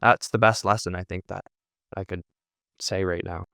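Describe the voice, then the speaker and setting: A man's voice: sits low, fairly even intonation, normal speed, creaky, bright, forceful tone, dry recording, clean studio sound. A man giving reflective interview answers in an earnest conversational way, often repeating and rephrasing his points and leaning on "you know". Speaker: podcast guest, content creator.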